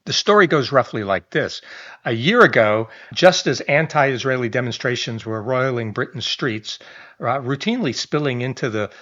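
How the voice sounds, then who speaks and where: A man's voice: mid-range voice, lively intonation, moderate pace, bright, forceful tone. A man, reading a news article aloud and adding casual commentary, with chatty asides, restarts and small chuckles. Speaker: online commentator. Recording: slightly dull lo-fi audio.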